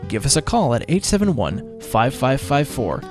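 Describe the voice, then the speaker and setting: A man's voice: mid-range voice, very animated, normal speed. A man reading a scripted promotional announcement for a community media station over guitar music.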